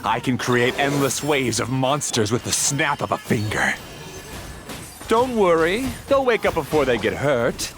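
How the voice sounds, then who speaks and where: A man's voice: mid-range voice, big pitch swings, unhurried, music in the background. A man voicing a smug, obsessive villain who rules a dream, theatrical and condescending, in an English game dub. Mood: gloating.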